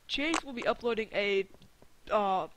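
A teenage boy hosting a casual multiplayer game session, talking in playful, whiny bursts that jump to yelling. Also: high voice, measured pace, noisy background. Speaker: gaming channel host. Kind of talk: casual multiplayer gaming chat.

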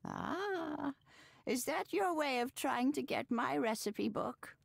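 A woman's voice: high voice, no echo, full character voice. A folksy old grandmother from a cozy farming game. Her voice swings expressively and crackles with age, close and dry, doting and teasing. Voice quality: creaky, aged. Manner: slow, homespun, expressive. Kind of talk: cozy video game voice acting.

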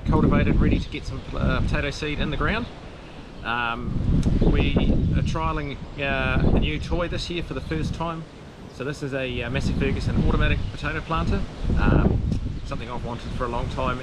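A laid-back New farmer in his thirties walks through his machinery in an easygoing, unpolished way. Heavy wind and engine rumble sit under his voice. It comes from a body-worn action camera outdoors. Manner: relaxed, plain-spoken, lots of ums and uhs. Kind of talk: casual farm vlog walkthrough. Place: outdoors on a farm and in a tractor cab. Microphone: action camera on the body or close at hand. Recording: loud wind and engine noise.

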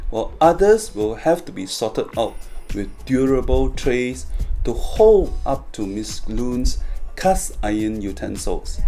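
A man's voice: mid-range voice, mellow. A man reading a scripted promotional narration as the presenter of a company video. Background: background music.

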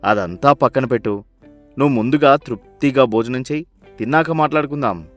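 A man's voice: medium pitch, brisk, faint music behind, lightly acted. A man playing a miserly, sly rich husband in a story, with a bossy, scolding, sarcastic manner. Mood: falsely kind, sly.

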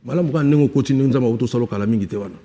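A man's voice: medium pitch, racing. A man, a musician guest on a TV studio talk show, speaking easily and mixing Lingala with French. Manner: relaxed, lists names, mixes Lingala and French. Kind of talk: casual studio interview. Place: TV studio set.

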